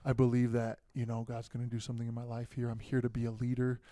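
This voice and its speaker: Homem jovem contando histórias, voz escura. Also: male voice, medium pitch, quick pace, no room sound, clean studio sound. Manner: relaxed storytelling, many fillers. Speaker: guest, future pastor. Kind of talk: casual podcast conversation.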